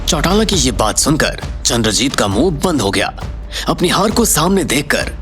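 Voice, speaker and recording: Guy narrating an audio story, dramatic scripted read, very animated pitch, music clearly playing underneath. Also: normal speed.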